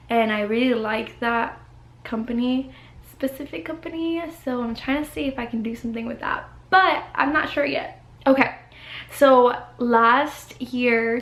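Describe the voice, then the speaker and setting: Giggly girl in her 20s vlogging from her bedroom corner, kinda high voice, echoey room, still getting over the flu. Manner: chatty, giggly, uses "like" and "y'all". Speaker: vlogger, college student. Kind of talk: casual chat to camera.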